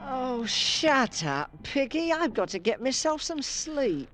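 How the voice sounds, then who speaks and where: High-voiced, grumbling army lad. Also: sing-song, takes it slowly, a bit bright, faint music behind, exaggerated voice. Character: sardonic, grumbling young British army drummer boy. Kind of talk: 1940s-50s radio drama acting. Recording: vintage radio broadcast.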